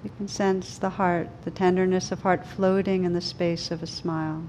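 A woman leading a guided meditation, speaking gently and soothingly in slow, unhurried phrases with pauses. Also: lowish voice, flat delivery, slight background noise, low-quality recording. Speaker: meditation teacher.

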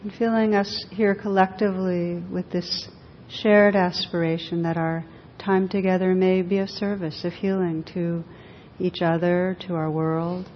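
Female meditation teacher, very slow and gentle, slightly low register; long hall reverb on the recording. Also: little pitch movement. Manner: gentle, unhurried, with long pauses between short phrases. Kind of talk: guided meditation to a group. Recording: dull echoing hall recording, faint rumbling noise.

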